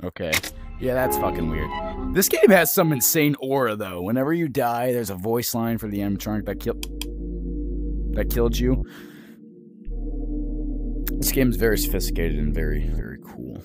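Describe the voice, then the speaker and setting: A man's voice: lowish voice, very animated, normal speed, long pauses, music in the background. A man casually commentating as he plays a horror game, swearing often and reacting with sudden jumps. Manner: swears often, jumpy reactions, explains mechanics aloud. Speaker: gaming youtuber. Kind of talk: casual let's-play commentary.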